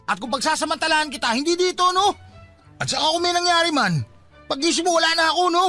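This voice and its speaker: A cheeky young Filipino man in a radio love drama. His voice sits very high and swings widely, with a bright, forceful edge. He plays it as a smitten buddy and mama's boy. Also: animated, fast, faint music behind, heavily acted. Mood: startled, defensive.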